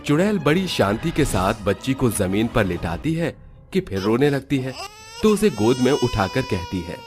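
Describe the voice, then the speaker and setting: A man's voice: mid-range voice, sing-song, normal speed, faint music behind. A man narrating a scripted Hindi cartoon story as its storyteller.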